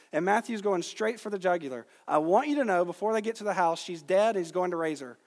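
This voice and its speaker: A man from Georgia in the United States preaching an expository sermon to a congregation, teaching with deliberate emphasis on key words. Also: high voice. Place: large reverberant room, speaking to a congregation. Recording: long reverb of a large hall.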